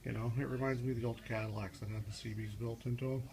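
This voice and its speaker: A man gives a casual, unscripted how-to walkthrough in a halting, rambling, matter-of-fact way, recorded on a handheld phone in a garage. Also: mid-range voice, steady tone, creaky, darkish tone. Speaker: hobbyist car DIY demonstrator. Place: home garage, in and around a car. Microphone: handheld phone, moved around while filming. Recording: distant mic, noisy handheld phone recording.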